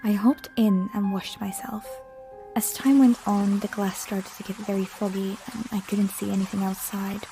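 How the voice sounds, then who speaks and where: A woman with a mid-range voice narrates a scary first-person story from a script. Music plays clearly behind her words. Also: mid-range voice, little pitch movement, normal speed, low-quality recording. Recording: loud background music.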